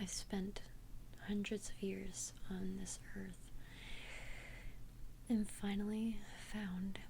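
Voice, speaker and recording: A woman playing an immortal young vampire in an ASMR roleplay, confiding tenderly in a breathy whisper with a hesitant, earnest manner. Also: medium pitch, warm tone, slight background noise, rough recording. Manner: hesitant, halting, earnest confession.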